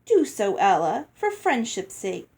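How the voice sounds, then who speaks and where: A woman's voice: medium pitch, sing-song, unhurried, a little mellow. A woman voicing a haughty, greedy, bossy girl from a storybook, condescending and falsely sweet. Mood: smug, falsely sweet.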